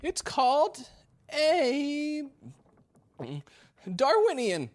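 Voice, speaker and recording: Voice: sits high, big pitch swings, very slow, exaggerated voice. An actor playing an anxious, tired new recruit in an audio drama, hesitant and pleading in casual modern speech. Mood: improvising. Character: anxious, exhausted young new actor posing as sailor.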